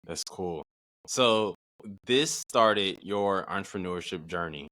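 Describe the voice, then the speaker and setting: A man's voice: low voice, takes it slowly, pushed and bright, no echo. A man hosting a podcast interview, asking questions and affirming with short backchannels. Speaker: podcast host.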